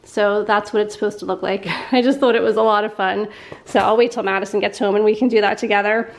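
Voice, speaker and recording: A middle-aged woman vlogging casually at home with a nasal, congested voice and a sore throat, chatty and quick to laugh. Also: medium pitch, fairly even intonation. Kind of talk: casual chat to camera.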